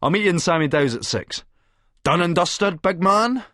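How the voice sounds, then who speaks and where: A man's voice: high-pitched, animated, moderate pace, bright, forceful tone, dry recording, studio quality, pitched above the performer's own voice. A man voicing a cocky, scheming, drug-fuelled Scottish wide boy with sly, cheeky patter in an audiobook. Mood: cocky, manic.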